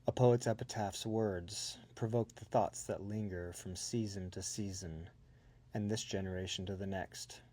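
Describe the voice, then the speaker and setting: A man recites poetry in a low, dark-toned voice. His pace is very slow and his delivery is measured and solemn. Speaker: poet reading own work.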